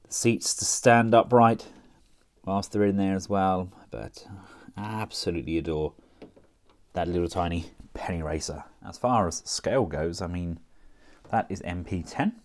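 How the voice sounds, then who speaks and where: A man talking casually through a toy review, chatty and relaxed with frequent fillers as he works the figure with his hands. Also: low-pitched, moderate pace. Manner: relaxed, chatty, thinking aloud with many fillers.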